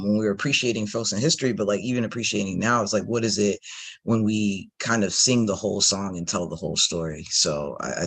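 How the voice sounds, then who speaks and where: A man speaking as a panelist in a conversational discussion, phrasing thoughts in a thoughtful, measured way. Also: lowish voice, fairly bright tone, breathy edge.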